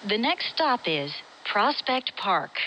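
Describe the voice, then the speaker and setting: A woman with an American accent reads scripted transit announcements in a measured, formal, clearly enunciated way. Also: medium pitch, very animated, pushed and bright, slight background noise, rough recording. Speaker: subway announcer.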